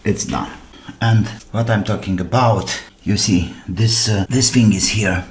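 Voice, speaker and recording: A man who speaks English as a second language, giving casual hands-on repair commentary as a channel host, haltingly thinking aloud while he works. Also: lowish voice, steady tone, slight background noise. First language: non-native English speaker.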